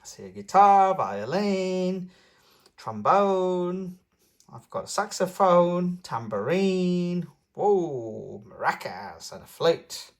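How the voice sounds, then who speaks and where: Female storybook reader, playful, child-directed, expressive swooping intonation, unhurried pace, read-aloud narration. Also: lowish voice. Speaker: storybook reader and channel host.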